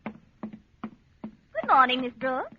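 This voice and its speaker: A woman's voice: high-pitched, sing-song, drawn-out words, full character voice. An actress playing a smug, catty woman teacher with sugary, arch condescension in a 1940s radio comedy. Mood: sweetly catty. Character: catty, smug, affected female teacher, a romantic rival. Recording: muffled noisy radio recording with audience.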